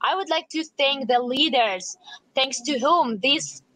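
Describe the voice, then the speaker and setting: A woman with as her interprets into English, rendering each phrase right after the speaker and sometimes loosely. Her voice is high and bright. The recording is close and dry. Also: slow, no room sound, poor audio.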